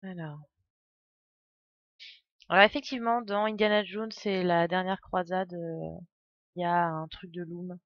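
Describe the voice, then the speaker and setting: A woman's voice: fairly low pitch, fairly even intonation, unhurried, long pauses, no room sound, muffled recording. A woman giving casual live game commentary as a streamer, chatty and playful, wandering into asides.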